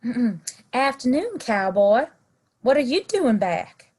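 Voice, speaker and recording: A woman playing a friendly, bold frontier townswoman in a western audio play, slightly husky and chatty. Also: fairly high pitch, big pitch swings, slow, a little mellow, full character voice. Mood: playful, friendly.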